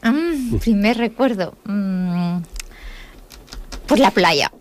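A woman from Catalonia, a guest DJ in a radio interview, speaking softly and hesitantly and laughing often. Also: mid-range voice, animated, drawn-out words. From Catalonia, Spain. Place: radio studio.

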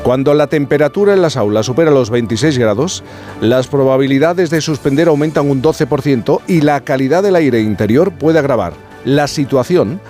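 A man hosting a radio show, reading a scripted introduction and then chatting with his panel in a measured, announcer-like way. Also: medium pitch, expressive, warm tone, faint music behind. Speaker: radio host. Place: radio studio.

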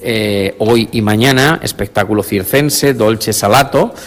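Low-voiced man in a reverberant hall. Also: unhurried. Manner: hesitant, many fillers. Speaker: radio reporter. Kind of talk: on-site radio interview. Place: palace courtyard at a theatre festival.

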